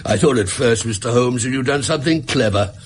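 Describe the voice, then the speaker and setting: A man's voice: medium pitch, a little fast, slight background noise, lightly acted. A man playing a flustered, pompous, portly middle-aged English pawnbroker in a radio drama, blustering and plaintive. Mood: astonished, dismissive. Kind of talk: British radio drama acting.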